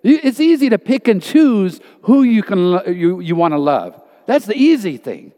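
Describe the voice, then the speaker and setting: A man's voice: sits high, very animated, normal speed, dark tone. A man giving an earnest, emphatic testimony in a church hall, telling stories from his missionary teaching. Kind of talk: sermon testimony. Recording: reverberant hall.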